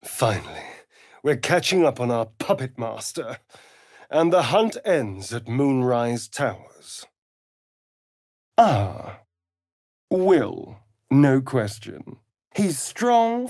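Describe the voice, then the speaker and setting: An actor playing a sly, flamboyant, sardonic vampire rogue in a video game, with a British accent and an arch, camp, teasing drawl. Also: male voice, medium pitch, no echo, studio quality. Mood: playful, sly, then admiring, seductive. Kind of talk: theatrical video game character acting.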